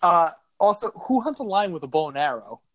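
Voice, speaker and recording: Low-voiced woman recapping a movie. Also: sing-song, brisk, a bit bright, no echo. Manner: laughs often, sarcastic asides. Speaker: podcast co-host. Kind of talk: casual movie recap chat.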